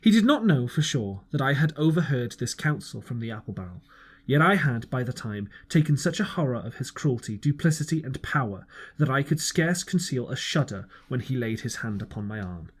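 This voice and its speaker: A man's voice: medium pitch, big pitch swings, fairly quick, slightly rough. A man narrating a first-person audiobook, an amateur reader who now and then stumbles over words.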